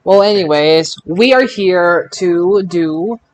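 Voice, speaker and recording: A man hosting a casual panel podcast about wrestling results, speaking in an emphatic, excitable way. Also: sits high, takes it slowly. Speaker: podcast host.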